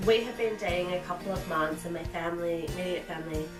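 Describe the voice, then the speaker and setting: A woman from rural Australia with an Australian accent, speaking hesitantly and thoughtfully, with many pauses and fillers, as she tells her personal story. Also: on the low side. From rural Victoria, Australia. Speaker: guest, partner of host. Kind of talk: casual personal account. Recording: echoey room, distant mic, music behind.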